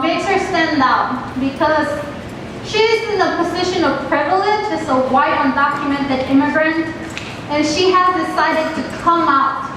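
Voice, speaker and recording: A woman's voice: extremely high pitch, noisy background. A woman from Mexico with a Mexican Spanish accent, giving an earnest, direct talk to a class and asking the students questions. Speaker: guest speaker to a class. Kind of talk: classroom presentation. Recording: echoey classroom from far off.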